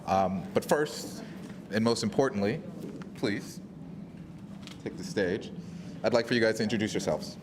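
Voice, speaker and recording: A man hosting a live award ceremony on a conference stage, chatty and encouraging, filling pauses while the tech gets set up. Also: fairly low pitch. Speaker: host of hackathon award ceremony. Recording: noisy live event audio.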